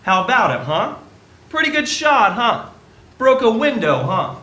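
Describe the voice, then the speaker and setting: An actor playing an eager, restless young small-town dreamer, earnest and exclamatory, in a stage radio play. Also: male voice, very high voice, big pitch swings, a little slow, bright, forceful tone, full character voice. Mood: boastful, excited. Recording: echoey theatre stage, faint noise.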